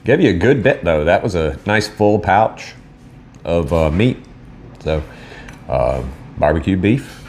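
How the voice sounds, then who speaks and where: A man with a Southern American accent casually reviewing food on camera in a relaxed, rambling way. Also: low-pitched, unhurried, pauses often, slight background noise. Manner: laid-back, rambling, self-deprecating. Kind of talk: casual solo video review.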